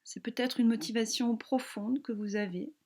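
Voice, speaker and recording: A woman yoga teacher guiding a relaxation meditation in a calm, gentle, soothing manner with long pauses. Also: a little high.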